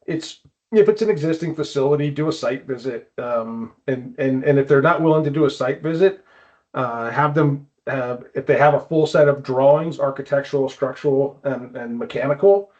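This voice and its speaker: A man's voice: medium pitch, normal speed, a little mellow, lo-fi sound. A man speaking as a trade expert guest in a casual interview, explaining practical details and often repeating words as he goes. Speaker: guest, climbing wall builder and consultant.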